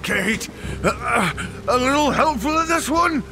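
Casting: brave sheriff for horror audio drama, slow, terse commands, high gravelly voice, strained urgency. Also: male voice, lively intonation, heavy background noise, heavily acted. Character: valiant, indomitable sheriff straining through a rescue. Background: quiet music and rain.

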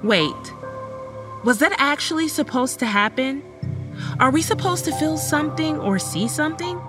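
A woman's voice: high voice, expressive, moderate pace, music in the background. A woman playing a frightened, woozy young woman in a horror audio drama, speaking hesitantly and faltering. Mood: uneasy.

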